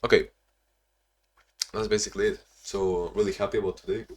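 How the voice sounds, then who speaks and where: A young man talking in a casual, reflective diary-style vlog, halting with frequent restarts and sounding a bit sleepy. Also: fairly low pitch, level tone. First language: not English; Portuguese not first language either. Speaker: vlogger.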